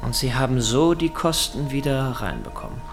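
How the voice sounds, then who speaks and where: A gravelly, mid-pitched interrogator in a radio drama. He is curt, probing and stern, with faint music underneath. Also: very animated, normal speed. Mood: probing, cool. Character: stern male interrogator named Henry, curt and insistent.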